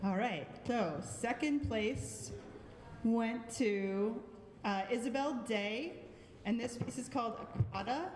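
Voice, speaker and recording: A woman's voice: a little high, slow pace, darkish tone, a little hiss or hum. A woman with a Boston accent giving a friendly, thoughtful live critique of art pieces, with frequent ums. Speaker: art show juror. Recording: echoey hall with long reverb.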